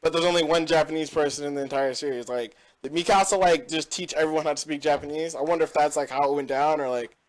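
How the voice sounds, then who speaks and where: A man's voice: a little high, fairly even intonation, fast talker, hardly any pauses, poor audio. A young man hosting a casual gaming podcast, rambling and opinionated with lots of fillers. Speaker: podcast host. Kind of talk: casual chat.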